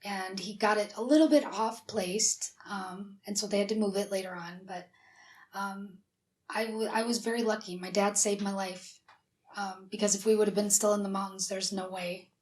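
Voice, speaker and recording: A woman from South Dakota with a raspy, breathy low voice, chatting openly as a guest in a studio interview. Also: steady tone, moderate pace, a little mellow. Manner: chatty, open storytelling. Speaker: whistleblower guest. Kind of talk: personal interview.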